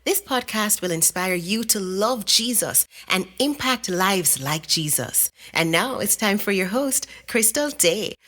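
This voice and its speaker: A woman reading a scripted podcast introduction in a measured announcing way. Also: mid-range voice, expressive, fairly bright tone.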